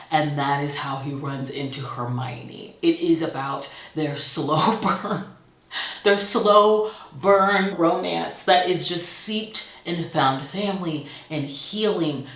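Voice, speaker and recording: A woman's voice: low-pitched, fairly bright tone. A woman talking casually and enthusiastically as a book reviewer, gushing and playful with dramatic emphasis on her words. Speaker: book reviewer and channel host. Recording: roomy, echoey indoor sound.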